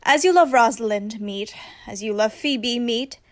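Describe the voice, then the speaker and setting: A woman acting a commanding heroine disguised as a young shepherd in a Shakespearean radio play, speaking in measured, emphatic declamation. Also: a little high, close to an everyday voice. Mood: commanding, assured.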